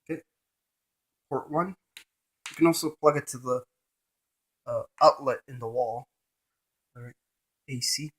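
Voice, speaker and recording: A man's voice: mid-range voice, unhurried, pauses often, a bit bright. A man presenting a casual hands-on gadget demonstration, narrating each step in short fragments.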